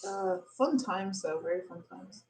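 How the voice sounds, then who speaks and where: A young woman with a low, relaxing voice, speaking calmly from across the room. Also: measured pace. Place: home, seated away from the mic. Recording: distant voice with faint room noise.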